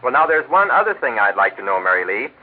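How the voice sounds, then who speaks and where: A man's voice: mid-range voice, very animated, fast talker, a little hiss or hum. An American man working as a 1940s radio announcer, delivering chatty, folksy advertising patter for a sponsor. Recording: vintage 1940s radio broadcast, telephone-like band.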